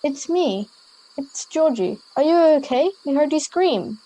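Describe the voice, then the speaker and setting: A woman's voice: sits high, animated, unhurried, warm tone, poor audio. A girl of ten or eleven playing a brave, protective boarding-school pupil in an amateur radio play, firm and earnest. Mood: concerned, resolute. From Australia. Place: at home over a video call.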